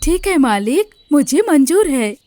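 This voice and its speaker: A woman's voice: high voice, big pitch swings, measured pace, warm tone. A woman voicing a poor, devoted father bird, earnest and reassuring, in a Hindi cartoon story. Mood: earnest, worried. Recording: music behind the voice.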